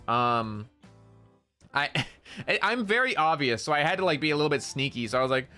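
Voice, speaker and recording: Loud nasal young man, bright-toned. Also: on the high side, moderate pace, faint music behind. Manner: loud, excitable, slangy. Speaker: streamer. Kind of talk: casual stream chat.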